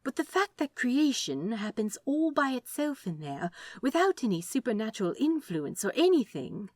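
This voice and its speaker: A woman plays a prim male angel from a storybook, fretful and earnest. The pitch is lifted and swoops with curiosity. The phrasing is polite, fussy and hesitant, in a dry, close recording. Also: sing-song, normal speed, no echo. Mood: fascinated.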